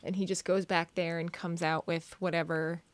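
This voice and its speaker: A soft-spoken woman chatting casually at home on a live stream, giggling between remarks. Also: fairly low pitch, little pitch movement, normal speed. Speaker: guest co-host. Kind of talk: casual chat. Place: home room.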